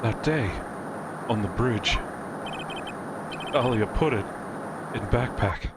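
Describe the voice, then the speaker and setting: A man voicing an anguished, betrayed convict who speaks halting, clipped broken English in a live game dub. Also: medium pitch, heavy background noise, pitched below the performer's own voice, close to an everyday voice. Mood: bleak, resigned. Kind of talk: live fan dub of a visual novel game. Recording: music under the voice.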